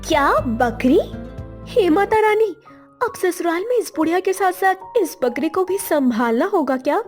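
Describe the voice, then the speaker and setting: Casting: young squeamish bahu, very high-pitched, complaining, sly, animated story, light music bed. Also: female voice, measured pace. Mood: dismayed grumbling. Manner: whiny, complaining. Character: young grumbling daughter-in-law, squeamish and sly. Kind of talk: Hindi animated moral story dialogue.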